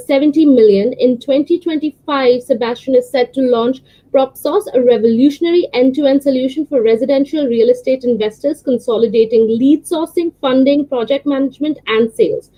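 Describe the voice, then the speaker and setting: A woman's voice: high voice, dark tone, low-quality recording. A woman hosting a podcast, reading a guest introduction and then asking questions with frequent fillers. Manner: reads introduction smoothly, asks questions with many fillers. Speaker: podcast host.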